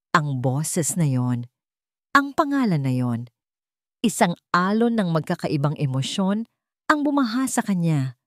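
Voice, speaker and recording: Low-pitched woman narrating a dramatic love story. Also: no room sound, clean studio sound.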